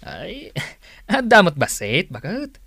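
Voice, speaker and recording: An actor playing an easygoing, indulgent, drily amused husband in an Ilocano radio drama. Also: male voice, high-pitched, huge pitch swings, slow pace, bright, forceful tone, husky, dry recording, clean studio sound, full character voice.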